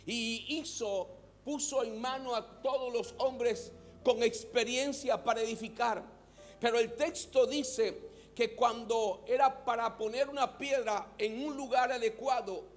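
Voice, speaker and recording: A man with a Mexican Spanish accent, preaching a sermon as pastor and having the congregation repeat words after him. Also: very high voice.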